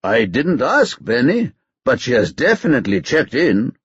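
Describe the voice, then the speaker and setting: A man's voice: medium pitch, sing-song, normal speed, a bit bright, breathy edge, no echo, dull audio. A man voicing an enthusiastic male police officer. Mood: eager.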